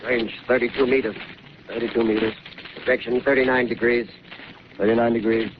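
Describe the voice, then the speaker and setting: A man's voice: mid-range voice, flat delivery, a little fast, lightly acted. A man acting an army artillery gunner calling out ranges and fire orders in barked, repeated commands, on a dull, hissy 1930s radio recording. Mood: brisk. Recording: dull 1930s radio broadcast, loud hiss.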